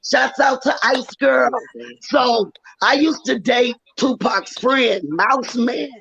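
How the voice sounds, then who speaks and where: A woman in her forties with a raspy, worn voice, telling stories loudly and with animation in a casual live-stream chat. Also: high-pitched, slow pace. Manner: loud, animated storytelling. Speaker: guest rapper. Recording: dull lo-fi stream audio.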